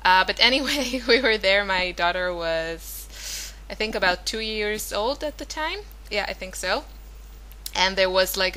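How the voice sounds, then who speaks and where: Woman, 30s, native Hebrew speaker; casual rambling crafting narration with a bright, slightly low voice. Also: rough recording. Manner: chatty, rambling, many fillers and self-corrections. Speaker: scrapbooking vlogger.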